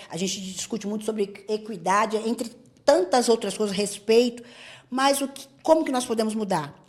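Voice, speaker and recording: A woman hosts a podcast conversation, drawing her guest out with personal questions. Her voice is at an ordinary middle pitch, and she shares stories of her own in an engaged, conversational way. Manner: warmly engaged, tells anecdotes. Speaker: podcast host. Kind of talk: interview conversation.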